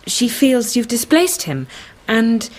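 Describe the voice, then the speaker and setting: A woman's voice: on the high side, sing-song, slow pace, darkish tone, full character voice. An actress playing an earnest, polite young woman psychiatrist with an English accent in a period radio drama. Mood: composed, engaged. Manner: genteel, proper, earnest.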